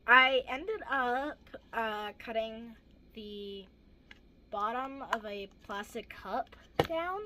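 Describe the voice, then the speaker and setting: Hoarse, chatty teenage girl. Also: a little high, extremely slow, bright, forceful tone, a little hiss or hum, poor audio. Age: teens. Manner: chatty, self-deprecating, rambling asides. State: hoarse, gravelly voice. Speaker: craft vlogger. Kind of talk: casual crafting vlog.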